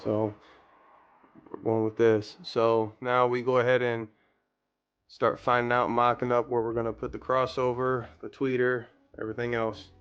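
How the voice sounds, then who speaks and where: Flat-toned man talking through a DIY job. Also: mid-range voice, normal speed, clean tone, muffled recording. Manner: rambling, thinking aloud while working. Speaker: DIY car audio vlogger. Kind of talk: casual hands-on tutorial narration.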